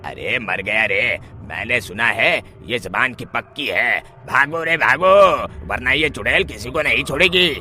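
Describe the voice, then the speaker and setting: A man's voice: medium pitch, lively intonation, unhurried, hardly any pauses, sharp tone, slight background noise. A man voicing a nervous young village bridegroom in a children's cartoon, anxious and pleading. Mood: panicked. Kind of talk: children's cartoon story acting.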